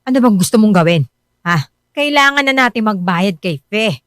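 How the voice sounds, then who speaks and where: A woman's voice: mid-range voice, expressive, normal speed, no echo, clean studio sound, lightly acted. An actress in a radio drama playing a worried, supportive wife who pleads with and reassures her husband. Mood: exasperated.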